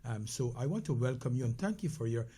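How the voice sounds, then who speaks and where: A man's voice: mid-range voice, expressive, quick pace, dark tone, breathy edge. A man with a Caribbean accent co-hosting a casual health talk show, speaking conversationally with many fillers and restarts. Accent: Caribbean English.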